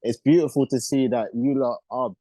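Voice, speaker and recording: A man's voice: mid-range voice, steady tone, moderate pace, dark tone, no echo. A man with a London accent interviewing guests in an informal, slangy way as a radio show host. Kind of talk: casual interview chat.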